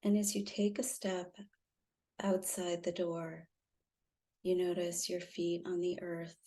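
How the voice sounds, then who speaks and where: Female meditation guide, low and dark-toned, soothing, with spacious pauses. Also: level tone. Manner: soft, soothing, gently instructive with long pauses. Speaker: meditation guide, intuitive healer.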